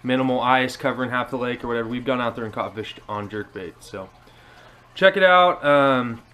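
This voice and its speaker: A man's voice: medium pitch, brisk, bright, forceful tone. A man in his twenties with an American accent, giving a casual how-to talk to a camera in a living room. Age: 20s. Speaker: fishing vlogger. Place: indoors, small living room. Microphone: action camera on table at arm's length.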